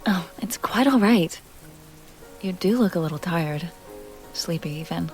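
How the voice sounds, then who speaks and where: A woman's voice: lowish voice, animated, a little slow, soft background music, rough recording. A woman playing a self-assured, playful vampire heiress in an intimate roleplay audio drama, talking directly to the listener. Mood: warm, encouraging.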